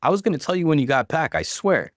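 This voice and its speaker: An earnest, desperate husband in a story reading, performed by a male narrator. He protests his innocence emphatically, pitch leaping widely. The recording is dry. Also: sing-song, fast, no echo. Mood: desperate pleading.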